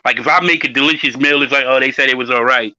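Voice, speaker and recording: A man's voice: medium pitch, fairly even intonation, fast talker, sharp tone, no echo. A man who works as a chef, talking emphatically in a casual chat and often repeating words as he starts a thought. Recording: dull, lo-fi audio.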